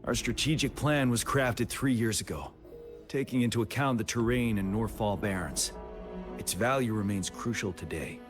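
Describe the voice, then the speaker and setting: A solemn military leader from a story-driven game, voiced by a man. His voice sits low and he speaks in a measured, deliberate way, with music clearly playing underneath. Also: natural voice. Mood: grave, resolute. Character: grave, measured military commander recounting a past disaster. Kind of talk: dramatic scripted game dialogue.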